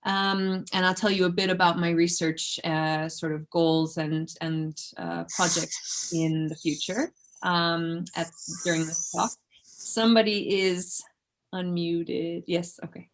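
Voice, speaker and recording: A woman with a slightly low voice gives an academic talk as a researcher. She keeps it informal and conversational, with a self-effacing touch. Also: steady tone, takes it slowly. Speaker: researcher giving a lecture. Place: indoors in an office, online presentation.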